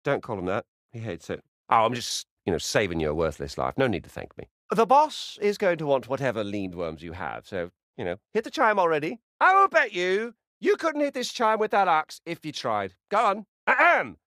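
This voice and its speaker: A man voicing a rude, sarcastic, foul-mouthed squirrel spirit in a video game, swinging between muttered asides and brash yells. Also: mid-range voice, huge pitch swings, normal speed, few pauses, dry recording, clean studio sound. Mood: bossy, impatient. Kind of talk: video game character acting.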